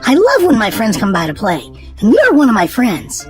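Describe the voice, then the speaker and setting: A woman reading a storybook aloud to young children in an animated, sing-song way. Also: on the high side, moderate pace, faint music behind. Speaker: children's storytime host and reader.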